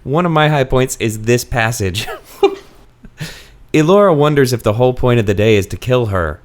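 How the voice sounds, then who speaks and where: A man's voice: medium pitch, expressive, normal speed. A man hosting a casual book discussion in a wry, conversational way. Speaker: podcast host.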